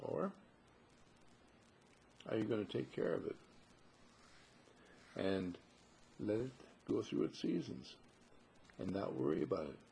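A man speaking as a spiritual teacher in a husky, gravelly voice, posing rhetorical questions and repeating phrases for emphasis. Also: fairly low pitch, big pitch swings, slow, long pauses, dark tone, slight background noise. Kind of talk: spiritual talk with parables.

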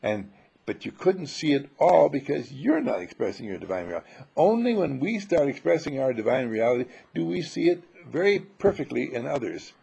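A man giving an informal spiritual sermon full of rhetorical questions, emphatic and dramatic, with a husky, gravelly voice. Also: medium pitch, sing-song, moderate pace, warm tone, dull audio. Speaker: spiritual teacher.

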